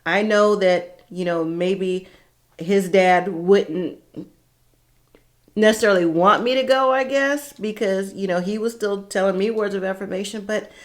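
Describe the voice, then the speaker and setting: A middle-aged woman telling a personal story casually and candidly, in a conversational way with dramatic pauses. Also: medium pitch.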